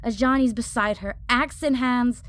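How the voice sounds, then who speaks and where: A woman's voice: fairly high pitch, normal speed, a bit bright, close to an everyday voice. A woman playing a hot-headed young female fire mage, narrating an audio drama in the first person with intense, clipped phrases. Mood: urgent, determined.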